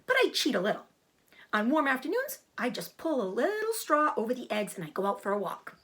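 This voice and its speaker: A breezy, blunt mother goose from a storybook, played by a woman. She pitches high and chatters animatedly, gabbling and repeating her syllables. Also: wildly swooping pitch, brisk, fairly bright tone, husky, exaggerated voice. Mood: chatty. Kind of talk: storybook character voice.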